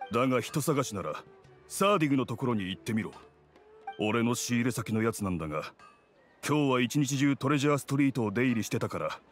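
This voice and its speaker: A man voicing a gruff middle-aged blacksmith in a game, speaking bluntly and plainly. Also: mid-range voice, natural voice.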